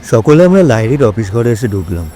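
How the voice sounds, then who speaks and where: A man's voice: lowish voice, sing-song, a little fast, warm tone, soft background music. A man narrating a story for an audio drama in a scripted, dramatic storytelling style.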